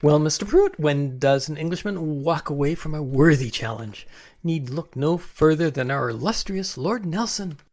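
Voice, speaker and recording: Pompous, animated Regency gentleman actor. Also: fairly high pitch, moderate pace. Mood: proud, hearty. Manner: pompous, hearty, emphatic. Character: proud, blustering Regency gentleman amateur actor. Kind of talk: radio play acting.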